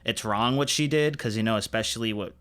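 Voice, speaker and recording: A man's voice: lowish voice, fairly quick, a bit bright, clean tone. A man co-hosting a podcast, chatting casually about pop culture in an opinionated, emphatic, slangy way. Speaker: podcast co-host.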